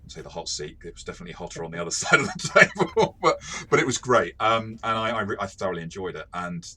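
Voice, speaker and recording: A man hosting a casual game review discussion, talking haltingly with repeated words and chuckling as he goes. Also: deep voice, expressive, sharp tone. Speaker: host, game master reviewing tabletop RPGs.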